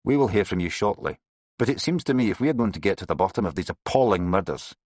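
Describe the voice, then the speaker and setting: A man voicing a stern male police inspector with a Scottish accent, speaking in a grave, measured way in a storybook reading. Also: low voice, expressive, a little mellow, no room sound, clean studio sound, voice pushed up.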